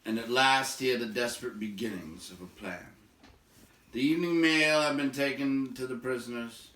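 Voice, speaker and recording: A man's voice: medium pitch, normal speed, sharp tone. A man reading a storybook aloud to listeners, moving between narration and casual remarks. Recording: roomy echo, distant from the mic.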